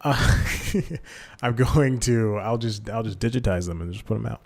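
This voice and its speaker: Young male podcast host with a lowish voice, rambling and self-correcting, casual solo talk. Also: measured pace, gravelly. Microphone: desk microphone.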